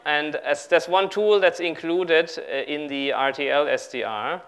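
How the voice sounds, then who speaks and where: A man in his thirties speaking haltingly as he improvises a live technical demonstration as a conference speaker. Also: on the high side, fairly bright tone, clear, resonant tone. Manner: halting, improvising while working at a laptop. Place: large auditorium on stage with audience.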